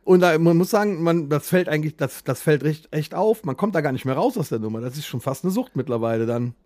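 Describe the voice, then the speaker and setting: A man's voice: a little high, expressive, quick pace, darkish tone, dry recording, clean studio sound. A man with a German accent co-hosting a casual podcast, talking in a jokey, blunt, colloquial way. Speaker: podcast co-host.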